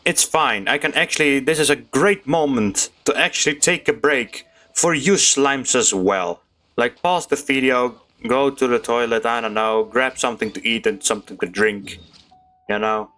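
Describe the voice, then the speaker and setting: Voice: mid-range voice, normal speed, a bit bright. A streamer giving casual, chatty and playful commentary while playing a video game. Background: faint game music.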